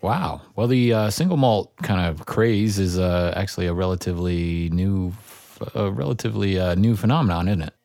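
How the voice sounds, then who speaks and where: A man hosting a cocktail podcast from his home studio, relaxed and full of "uh" fillers. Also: deep voice, normal speed, creaky, dry recording. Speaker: podcast host.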